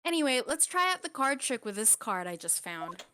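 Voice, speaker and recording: A woman's voice: high voice, very animated, moderate pace, sharp tone, clean tone, no echo, heavily acted. A woman voicing a cheerful, curious teenage spirit medium girl in a live fan dub of a game, chirpy and playful. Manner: chirpy, wide-eyed and playful.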